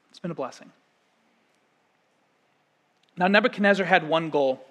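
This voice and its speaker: Pastor guy preaching, high voice, chatty and storytelling, talks right to the room. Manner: conversational, storytelling, animated asides to congregation. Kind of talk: sermon.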